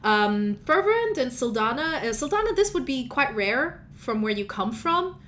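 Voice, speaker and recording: A woman's voice: high voice, very animated, normal speed, fairly bright tone, a little hiss or hum. A woman whose first language is Russian, narrating as game master in a rambling, chatty way, often correcting herself. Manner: chatty, rambling, self-correcting, laughs at own slips.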